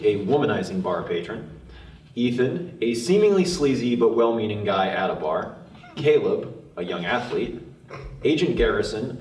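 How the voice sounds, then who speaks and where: A male actor at a table read, introducing himself and reading out character descriptions. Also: on the low side. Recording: echoey room, distant microphone.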